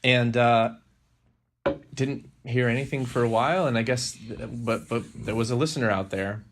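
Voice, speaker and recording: A man hosting a podcast, telling stories in a casual, rambling, halting way with frequent self-corrections. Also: mid-range voice, steady tone, a bit bright. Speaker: podcast host.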